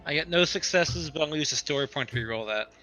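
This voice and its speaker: A man's voice: fairly high pitch, expressive, fast, bright, forceful tone, rough recording. A man chatting casually as a player in a tabletop roleplaying game. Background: background music.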